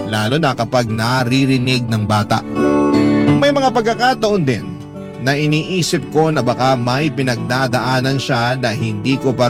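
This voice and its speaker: Man narrating as an earnest, heartfelt son over music. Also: mid-range voice. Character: earnest grown son confiding his family troubles by letter. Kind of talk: first-person radio drama narration.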